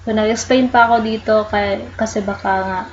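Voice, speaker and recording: A woman with a Filipino accent walking viewers through an online process, explaining slowly and patiently while mixing English into Tagalog. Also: mid-range voice, fairly even intonation, muffled recording, a little hiss or hum. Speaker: tutorial host. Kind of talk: casual screen-recorded walkthrough.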